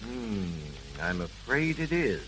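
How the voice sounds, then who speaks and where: An older moneyed gentleman in an old radio play, courteous and formal. His voice is sharp and bright and swings widely in pitch. The 1930s recording hums faintly. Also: big pitch swings, slow. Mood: flustered. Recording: hissy, humming 1930s radio broadcast.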